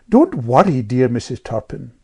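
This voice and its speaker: A woman's voice: rumbling low, big pitch swings, moderate pace, warm tone, heavily acted. A woman voicing a snobbish, catty vicar's wife and doting mother, tart and condescending, in a storybook. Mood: sweetly cutting.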